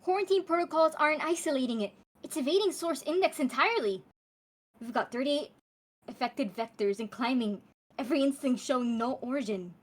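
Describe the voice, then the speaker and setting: Tense female sci-fi agent, acted by a woman; high voice, technical report delivery for animation. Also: brisk. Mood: alarmed. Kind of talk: animated pilot table read.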